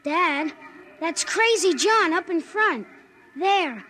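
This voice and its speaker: A woman's voice: very high voice, expressive, slow, sharp tone, a little hiss or hum, full character voice. An actress playing a frightened young farm boy in a 1950s radio drama, speaking with urgent, pleading lines. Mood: anxious. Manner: urgent, pleading boyish delivery.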